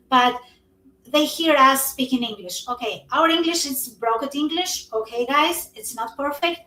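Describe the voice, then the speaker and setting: A woman's voice: a little high, fairly bright tone. A woman, an English speaker who talks earnestly with many fillers as an interview guest. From Albania. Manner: earnest, non-native English with frequent fillers. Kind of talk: casual conversation. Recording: echoey room, somewhat far from the mic.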